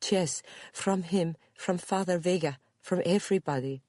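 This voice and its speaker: Audiobook character: Spanish-accented woman, late forties, earnest, guilt-ridden, halting English, played by a female narrator. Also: on the low side, mellow, no room sound, studio quality, voice pushed up, close to an everyday voice. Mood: agitated. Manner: halting, simple broken English, emphatic repetition.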